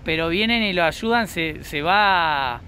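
A man's voice: fairly high pitch, expressive, unhurried, bright, forceful tone. A man working as a street reporter, asking short, direct questions. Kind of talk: interview questions. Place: outdoors on a city street. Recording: clear street noise outdoors.

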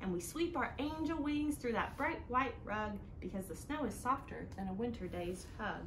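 Woman, high voice, children's storytime reader, animated sing-song delivery, roomy indoor recording. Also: moderate pace. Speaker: children's storytime host at a library. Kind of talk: reading a picture book aloud to children. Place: living room indoors, seated on a couch. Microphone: camera a few feet away. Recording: roomy home sound with noticeable background noise.

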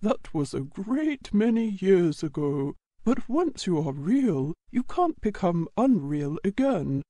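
A woman voicing a kindly, truthful old male toy horse in a storybook, explaining gently and patiently. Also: lowish voice, very animated, slow, dry recording, full character voice. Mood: gentle, wise. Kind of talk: storybook audiobook character reading.

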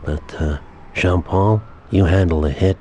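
An actor playing a controlled, quietly threatening male crime boss in a video game, speaking in a measured way. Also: deep voice, slight background noise. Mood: commanding. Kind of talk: cinematic video game cutscene acting.

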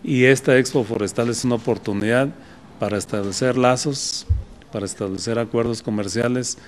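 A man in his sixties with a Mexican Spanish accent, a state forestry official speaking at a press conference in a measured way with some restarts, recorded on desk microphones indoors. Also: mid-range voice, steady tone, slight background noise. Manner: measured, repeats himself, brief restarts.

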